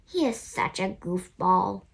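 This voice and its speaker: A girl of about nine reading a picture book aloud, careful and a little halting as she goes. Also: medium pitch, expressive, vocal fry, slight background noise. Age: child, about nine. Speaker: young reader of a picture book. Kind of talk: storybook read-aloud narration.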